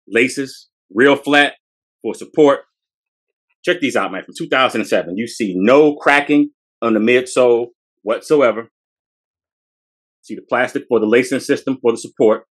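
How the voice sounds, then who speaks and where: A man's voice: medium pitch, fairly bright tone. A man in his forties, a sneaker podcast co-host, talks up a shoe from his collection in a hyped, slangy way, often repeating phrases, in a small room. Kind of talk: casual sneaker showcase. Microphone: fixed camera at close range.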